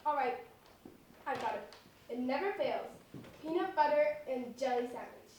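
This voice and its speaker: A young woman acting in an amateur stage comedy as an eager, increasingly exasperated dinner date, expressive and incredulous. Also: high voice, moderate pace. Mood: hopeful, insistent. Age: teenager or young adult. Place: echoey indoor stage, recorded from afar. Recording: echoey stage, distant mic, faint noise.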